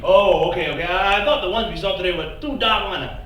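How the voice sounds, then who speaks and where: A man's voice: sits high, quick pace, dull audio, lightly acted. A man voicing a fussy young Singaporean man who chats in Singlish with a lilting rise. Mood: earnest. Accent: Singaporean English. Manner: chatty, lilting Singlish rises. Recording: echoey stage from far off.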